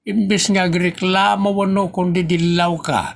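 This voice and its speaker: A man's voice: high-pitched, little pitch movement, normal speed, creaky. A man with an Ilocano accent playing a grumbling, exasperated village father in a comedy audio drama, complaining in exclamations. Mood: indignant.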